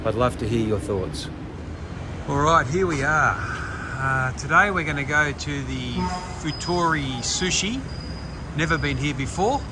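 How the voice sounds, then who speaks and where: An older man vlogging casually to camera, pausing often with ums and restarts. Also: on the high side, animated, noisy background. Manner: hesitant, many ums and restarts. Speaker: travel vlogger.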